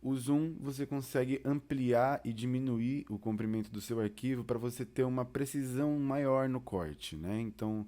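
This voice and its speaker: A man with a Brazilian accent giving an informal software tutorial, explaining casually as an instructor. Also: mid-range voice, normal speed, hardly any pauses. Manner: casual, explanatory, uses diminutives.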